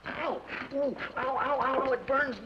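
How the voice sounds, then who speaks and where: An actor playing a young wounded cowboy who talks in a pained, strained way, in 1940s radio acting. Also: male voice, extremely high pitch, little pitch movement, takes it slowly, dull audio. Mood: hurt. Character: young wounded cowboy, the outlaw's kid brother. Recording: hissy old radio broadcast.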